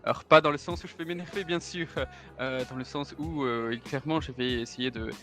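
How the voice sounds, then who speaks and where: A man's voice: mid-range voice, brisk, pushed and bright, soft background music, natural voice. A man in his thirties roleplaying a German real estate agent who loves parties, speaking with a German accent in a formal, hesitant way full of fillers and German interjections. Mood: chatty, courteous. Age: 30s. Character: 33-year-old German man, suave real estate agent and party lover.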